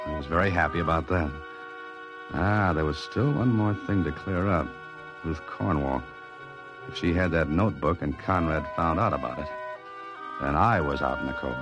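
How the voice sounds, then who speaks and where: An actor playing a cool, wry, tough mystery novelist turned sleuth in a 1940s radio drama. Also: male voice, rumbling low, rough recording. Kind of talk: 1940s radio drama acting with first-person narration. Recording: 1940s radio recording with music behind.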